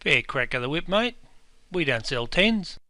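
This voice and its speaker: A man with an Australian accent playing a gruff, pedantic pub owner who scolds customers in broad slang, in a scripted comedy dialogue. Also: on the high side, sing-song, a little slow, sharp tone, heavily acted. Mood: scolding. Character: gruff, pedantic Aussie publican, quick to correct. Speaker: publican character.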